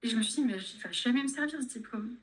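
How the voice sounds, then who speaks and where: A young woman from France, a physiotherapist chatting in an interview and telling lively anecdotes. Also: a little high, fast talker. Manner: chatty, tells anecdotes with laughs. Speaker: guest, physiotherapist. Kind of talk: casual interview chat.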